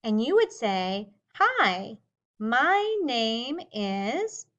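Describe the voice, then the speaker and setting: Slow, lively-voiced woman teacher. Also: fairly high pitch, lo-fi sound. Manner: slow, clearly spoken teaching voice with drawn-out words. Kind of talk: slow English lesson for young learners.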